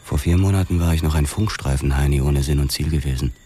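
An actor playing a brooding, terse young police detective who narrates a noir crime drama in a measured, sombre way. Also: male voice, a bass voice, dark tone, faint music behind. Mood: reflective. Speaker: first-person narrator.

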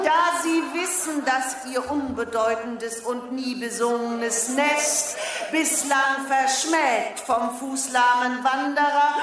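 A woman playing a pompous, condescending lady dignitary who gives a halting, grandiose ceremonial speech in a radio play. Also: high voice. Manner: pompous, halting, grandiose, faltering and repeating herself. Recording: echoing public-address hall sound with crowd.

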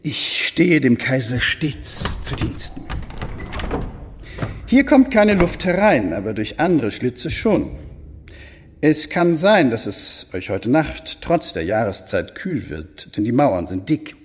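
An actor playing a courteous, sly alchemist lord in a German radio drama, explaining his marvels formally with a husky voice. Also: mid-range voice, very animated, slow, a little hiss or hum. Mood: obliging. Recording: long reverberant stone-hall echo.

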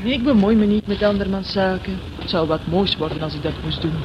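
A woman's voice: mid-range voice, brisk, close to an everyday voice. A woman acting a busy, stoical, matter-of-fact concierge of an apartment block in a Dutch radio play. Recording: dull, noisy old radio recording.

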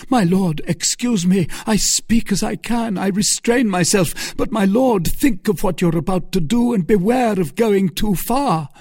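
A man's voice: fairly high pitch, normal speed, darkish tone, slightly rough, no echo, clean studio sound, pitched above the performer's own voice. A man voicing an earnest young male officer, intense and pleading, his voice raised and urgent. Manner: urgent, rising, insistent.

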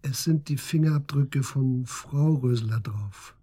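An actor playing a persistent police detective questioning a suspect in a radio drama, matter-of-fact and probing. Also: male voice, mid-range voice, slow pace, dark tone, breathy edge. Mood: insistent, matter-of-fact. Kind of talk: naturalistic German radio drama acting.

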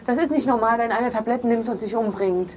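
A woman with a fairly high voice acting as an accused daughter in an audio drama. She talks in a natural, defensive, indignant way, with clear crowd sound behind her. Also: fast, close to an everyday voice, low-quality recording.

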